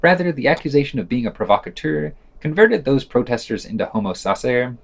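Guy hosting a podcast, reads written news bits, pitch moves around a lot. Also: very animated, fairly quick, vocal fry. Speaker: podcast host reading news. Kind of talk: scripted news digest reading with quoted excerpts.

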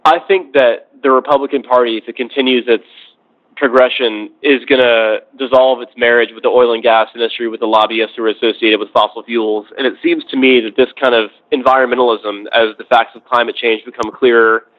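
Through a thin, phone-quality connection, a man of medium pitch offers political commentary in conversation. He is reflective and measured, laying out each point one phrase at a time. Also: fairly even intonation. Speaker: podcast discussion guest. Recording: tinny phone-like line.

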